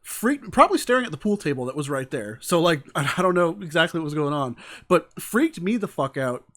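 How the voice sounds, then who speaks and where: A man's voice: a little high, big pitch swings, fairly quick, a bit bright. A man hosting an interview over a video call, casual and joking, with wry self-deprecating asides. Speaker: interview host. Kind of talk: casual chat.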